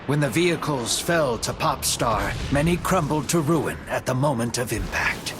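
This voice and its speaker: A man narrating a video game story cutscene in a measured, dramatic storytelling manner. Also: mid-range voice, animated. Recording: music under the voice. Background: music.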